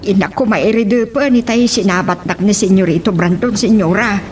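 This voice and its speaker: A respectful, fretful household servant, performed by a woman at a medium pitch. The radio drama recording carries clear background noise. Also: normal speed, a little mellow, lightly acted. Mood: deferential, worried.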